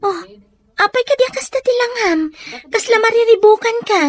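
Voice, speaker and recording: Woman acting a very high-voiced teenage friend. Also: moderate pace. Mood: curious, concerned. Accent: Ilocano Filipino. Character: concerned teenage schoolgirl, a frank best friend. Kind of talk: Ilocano audio drama acting.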